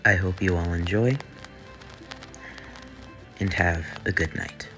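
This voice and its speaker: A man with a lowish voice reads his fan story aloud at a very unhurried, measured pace. Faint music plays behind him throughout. The delivery stays soft and even. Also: rough recording. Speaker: fan fiction reader.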